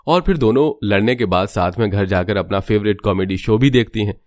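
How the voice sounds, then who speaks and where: A man narrating a cartoon family story in a steady, scripted storytelling manner. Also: on the low side, fairly quick.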